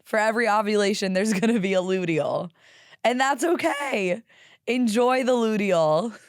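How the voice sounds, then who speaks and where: A young woman with a raspy, hoarse voice talks candidly and with some profanity as the host of a solo podcast. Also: mid-range voice, normal speed, dry recording, clean studio sound. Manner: candid, profane, animated with laughs. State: hoarse from karaoke singing.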